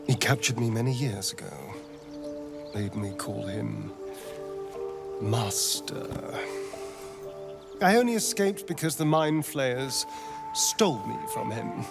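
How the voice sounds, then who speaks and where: An arch, haunted escaped slave for a video game. His delivery is theatrical and sardonic, unhurried and very animated in pitch. Music plays clearly behind him. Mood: haunted, guarded. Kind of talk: dramatic video game character acting.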